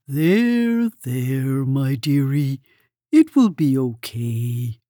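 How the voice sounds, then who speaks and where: A kindly, motherly mole who fusses over visitors, as a woman reads her. The voice sits low and mellow, drawn out slowly, soft and comforting. Close and dry, with no room around it. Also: sing-song, dry recording, heavily acted. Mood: soothing. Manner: soothing, with a French greeting. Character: kindly motherly female mole, fussing and warm. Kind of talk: storybook read-aloud.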